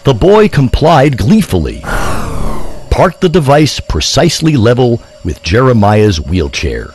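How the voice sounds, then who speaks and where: A man's voice: mid-range voice, wildly swooping pitch, measured pace, a little mellow. A man narrating a scripted audio drama as a theatrical, wordy storyteller.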